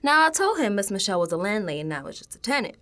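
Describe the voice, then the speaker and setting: A woman's voice: mid-range voice, sing-song, racing. A woman playing a streetwise young New Yorker, telling her spooked audio diary in a slangy, conversational radio drama monologue. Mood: wry, exasperated. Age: young adult. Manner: slangy, conversational, dropping into hushed asides.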